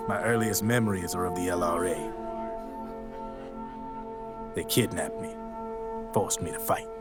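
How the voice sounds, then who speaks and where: An actor playing a slick, jaded gun launderer in a video game cutscene, with slangy, folksy showmanship. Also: male voice, low voice. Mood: matter-of-fact confession. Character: slick, jaded male gun launderer, former child soldier. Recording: loud music under the voice.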